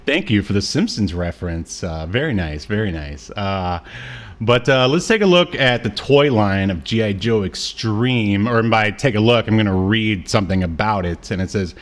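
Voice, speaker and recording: A man's voice: on the low side, expressive, normal speed. A man co-hosting a podcast, chatting casually about media with wry, joking asides. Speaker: podcast co-host. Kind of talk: casual media review chat.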